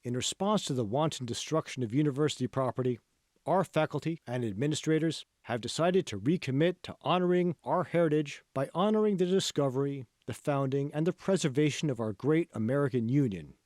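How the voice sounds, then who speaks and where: A man's voice: a little high, a little fast, a little mellow, no echo, lightly acted. A formal university official , voiced by a male audiobook narrator, declaims a letter. The delivery is ceremonious and emphatic, and the sound is close and dry. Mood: formal, proud.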